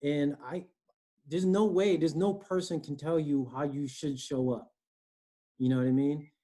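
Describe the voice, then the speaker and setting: A man's voice: fairly high pitch, unhurried. Earnest East Coast man on a video call. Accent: East Coast American. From Connecticut, US. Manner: earnest, animated, frequent "you know" and "right?". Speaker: guest, HR director. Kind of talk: conversational interview. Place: home room, indoors.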